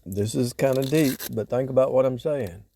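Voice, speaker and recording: A man's voice: mid-range voice, normal speed, dark tone, slight background noise. A man giving casual, off-the-cuff spiritual teaching in a rambling way, repeating and rephrasing himself for emphasis. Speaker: religious vlogger.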